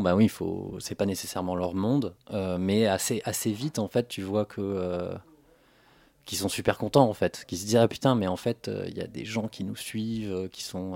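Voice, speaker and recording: Gravelly-voiced, halting man in an interview. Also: sits low, a little mellow, no room sound. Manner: hesitant, halting delivery with many self-corrections. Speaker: interview guest, streamer. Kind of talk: spontaneous radio interview. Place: radio studio.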